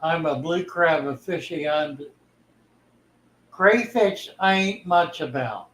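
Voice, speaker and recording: A man's voice: fairly high pitch, takes it slowly, fairly bright tone, echoey room, poor audio. An older man hosting a livestream about fish keeping, talking in a folksy, easygoing way and reading viewer comments aloud. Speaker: livestream host, fish keeper. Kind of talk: casual livestream chat.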